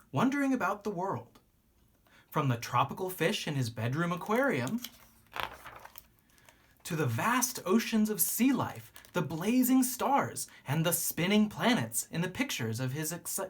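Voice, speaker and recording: Expressive storybook reader with a husky edge. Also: mid-range voice, a little slow. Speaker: teacher reading a picture book aloud. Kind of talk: expressive children's storybook read-aloud.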